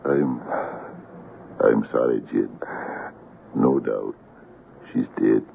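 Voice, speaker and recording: On a thin, noisy 1970s radio broadcast, a burly Scottish local. The voice is gravelly, blunt and plain-spoken, that of a sceptic who repeats himself as he goes. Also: very deep voice, very slow, narrow, tinny audio, full character voice. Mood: somber, shaken. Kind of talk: 1970s radio drama acting.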